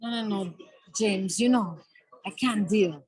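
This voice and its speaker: A woman's voice: medium pitch, measured pace, a little mellow, poor audio. A woman with an Italian-Canadian Montreal accent, chatting casually as a talk show guest. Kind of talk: casual chat. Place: remote check-in from a restaurant.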